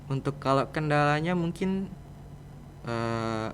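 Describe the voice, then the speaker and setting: A man's voice: fairly high pitch, animated, takes it slowly, a little hiss or hum. A teenage male student with a Jakarta Indonesian accent, answering casually and a little hesitantly as a podcast guest. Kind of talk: casual interview chat.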